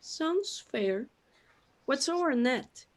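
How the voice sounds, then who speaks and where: A woman's voice: high-pitched, animated, extremely slow, heavily acted. A woman playing a bewildered, peevish immortal being who has lost her memory, in a questioning manner for a scripted audio drama. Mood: puzzled. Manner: questioning, puzzled.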